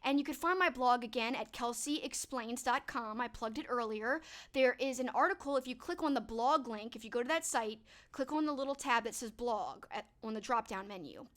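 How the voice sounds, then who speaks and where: A young woman with a high, bright-pitched voice wraps up a livestream in a chatty, upbeat way, thanking her viewers by name. Her voice sounds a little stuffed up and congested. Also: expressive, a little fast, few pauses. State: a bit stuffed up. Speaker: livestream host. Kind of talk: casual livestream wrap-up talking to chat.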